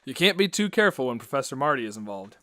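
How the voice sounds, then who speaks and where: A man's voice: medium pitch, very animated, fast talker, bright, forceful tone, dry recording. A man hosting and announcing a scripted radio drama in an interviewer's conversational style. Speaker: host and announcer.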